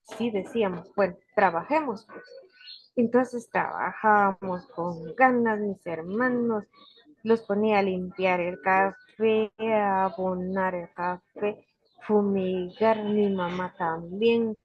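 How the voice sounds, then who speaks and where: An older woman, unhurried and full of restarts, telling family stories as a guest on a video call. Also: medium pitch, breathy edge, no echo. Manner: warm, unhurried storytelling with frequent restarts. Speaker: guest speaker, forest guardian. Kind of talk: casual storytelling interview. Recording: dull lo-fi video call audio.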